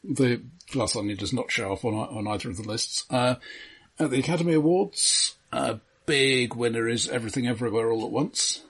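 Husky man discussing films, hesitant and measured. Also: medium pitch, fairly bright tone. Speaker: film podcast co-host. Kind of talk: casual film discussion.

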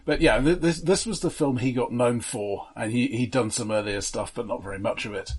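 A man's voice: mid-range voice, brisk. A man co-hosting a podcast, casually discussing films in a wry, precise manner, often qualifying what he says. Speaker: podcast co-host.

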